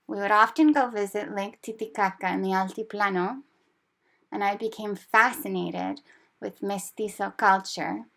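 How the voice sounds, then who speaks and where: A woman in her thirties reads her own story aloud in a measured, reflective way. Her voice has a steady creaky fry at an ordinary middle pitch. She keeps an earnest, considered tone throughout. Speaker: speaker telling her language-learning story. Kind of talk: prepared personal narrative, read aloud.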